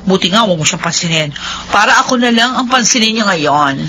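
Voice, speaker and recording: An actress playing a flirtatious, defiant unfaithful wife in a Filipino radio drama. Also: female voice, medium pitch, lively intonation, brisk, sharp tone, a little hiss or hum, rough recording. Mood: irritated, spiteful.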